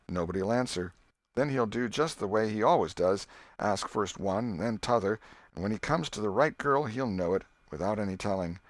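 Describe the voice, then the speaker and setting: A man's voice: on the low side, dry recording, close to an everyday voice. A man voicing a cocky American schoolboy in a storybook, musing to himself in a folksy, dismissive way.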